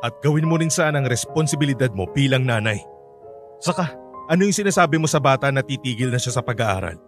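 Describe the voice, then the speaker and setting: A man's voice: medium pitch, animated, quick pace, faint music behind, natural voice. A man playing a weary, bitter working father. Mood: defiant. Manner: earnest, frustrated, pleading. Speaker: narrator and lead in a radio drama. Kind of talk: radio drama acting.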